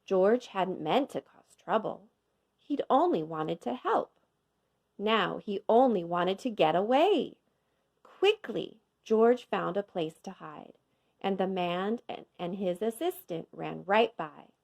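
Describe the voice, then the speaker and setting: A woman narrates a picture book for young children in an expressive, teacherly way. Her pace is slow and her pitch moves freely, with a creaky edge to the voice. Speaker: kindergarten teacher reading aloud. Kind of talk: scripted children's storybook narration.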